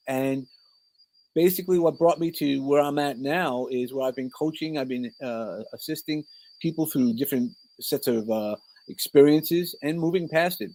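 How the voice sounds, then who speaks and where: A man's voice: a little high, a little mellow, rough recording. A middle-aged man with a New York accent, talking informally as an interview guest and often breaking off to rephrase his personal stories. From New York, Brooklyn. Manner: informal, rambling, self-interrupting. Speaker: podcast guest, coach and speaker. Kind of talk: conversational interview, personal storytelling.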